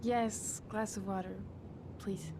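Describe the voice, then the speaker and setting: A woman's voice: medium pitch, fairly even intonation, slow pace, noisy background, close to an everyday voice. An actress playing a grieving, determined mother in a video game, earnest and hesitant but insistent. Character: grieving, determined mother seeking her son's killer. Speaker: actor. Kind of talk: cinematic video game dialogue. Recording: music behind the voice.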